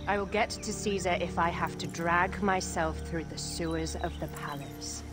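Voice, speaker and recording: A woman's voice: fairly low pitch, steady tone, moderate pace, music in the background, close to an everyday voice. A woman playing a proud, desperate young queen in a video game cutscene, haughty, demanding and intense.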